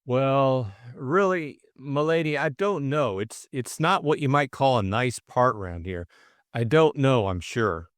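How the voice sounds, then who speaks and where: A man's voice: medium pitch, animated, slow, no room sound, studio quality. A man voicing a nervous, servile former footman in an audiobook, with hesitant, stammering speech. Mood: uneasy, evasive. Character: nervous, deferential male ex-footman caught off guard. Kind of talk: audiobook character voice.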